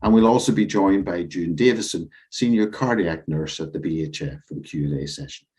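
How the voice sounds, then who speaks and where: A man hosting an online health webinar, reading a script in a measured way with small slips and fillers. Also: low voice, darkish tone. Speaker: host.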